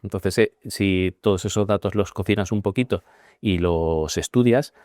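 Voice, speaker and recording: A man in his forties from Spain, a guest telling his career story in a measured, reflective way with frequent pauses and fillers. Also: low-pitched, darkish tone, dry recording, clean studio sound. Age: 40s. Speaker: guest, managing partner. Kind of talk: conversational interview.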